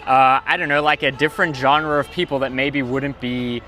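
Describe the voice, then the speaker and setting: A man's voice: mid-range voice, steady tone, normal speed, bright, forceful tone, soft background music. A man hosting a casual livestream at an outdoor skatepark, talking in slangy, enthusiastic bursts. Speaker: livestream host. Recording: noisy outdoor livestream audio.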